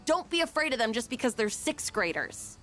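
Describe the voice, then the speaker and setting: A woman's voice: a little high, big pitch swings, a little fast, over music. A woman playing an upbeat, sporty teenage girl who coaches kids firmly, in an anime game dub. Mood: bossy, encouraging. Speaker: student volunteer coach.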